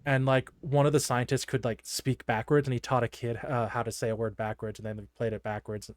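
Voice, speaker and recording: A man talks casually as a podcast co-host, in a middle-pitched voice. His delivery is chatty and loose, with a giggly streak. The sound is dry, with very little room around it. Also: level tone, brisk, dry recording. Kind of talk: casual discussion of a horror anime episode.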